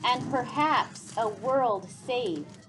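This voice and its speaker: A woman voicing a wise, gentle old man in a storybook, speaking in a grave, formal and measured way. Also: on the high side, background noise. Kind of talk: storybook read aloud.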